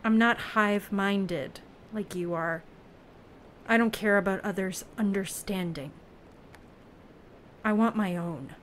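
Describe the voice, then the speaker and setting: A woman's voice: mid-range voice, slow pace, long pauses, a little hiss or hum, natural voice. A woman in an audio play playing a guarded, intense raft dweller of an ocean world, speaking in plain, vivid phrases. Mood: guarded, blunt.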